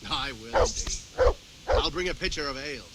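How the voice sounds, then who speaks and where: An actor playing a boastful, flirtatious medieval rogue in a video game, teasing and playful. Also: male voice, a little high, huge pitch swings, normal speed, gravelly, heavy background noise, full character voice. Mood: flirty. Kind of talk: acted game dialogue.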